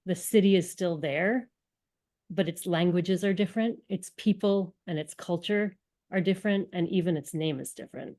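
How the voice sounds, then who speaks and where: A woman delivers an earnest, explanatory talk in a slightly low voice. She pauses now and then with an um as she explains. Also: mellow. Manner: earnest, explanatory, with frequent ums. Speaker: lecturer. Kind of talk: synagogue lecture presentation.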